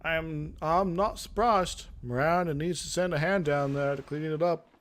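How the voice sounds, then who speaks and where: A man putting on a solemn young warrior, pitched up. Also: moderate pace, natural voice. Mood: bitter, resigned. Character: scarred young warrior, bitter, earnest, eager to prove himself.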